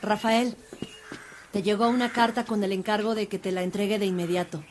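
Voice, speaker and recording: An actress playing a priest's concerned, insistent sister in a radio drama. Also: female voice, medium pitch, steady tone, brisk, a little hiss or hum, natural voice.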